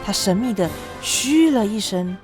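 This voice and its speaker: A young woman narrating a scripted children's story in an expressive, dramatic way. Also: mid-range voice, measured pace, faint music behind. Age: young adult.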